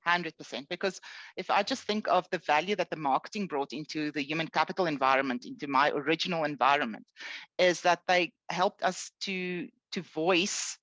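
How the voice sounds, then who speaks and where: A middle-aged South African woman, Afrikaans her first language, chatting freely and warmly as a podcast guest. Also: deep voice, normal speed, no room sound, muffled recording. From Eastern Cape, South Africa. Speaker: guest, HR executive. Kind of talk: podcast interview.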